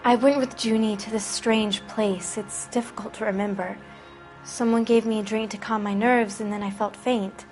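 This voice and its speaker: A woman's voice: medium pitch, fairly even intonation, normal speed, darkish tone, faint music behind, lightly acted. A woman voicing a naive, frightened teenage girl in a video game, hesitant and plaintive. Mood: hesitant, fearful.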